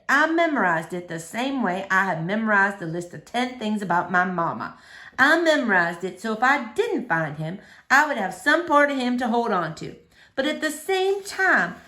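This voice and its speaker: A woman reading a children's novel aloud as expressive story narration. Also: medium pitch. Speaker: storybook reader.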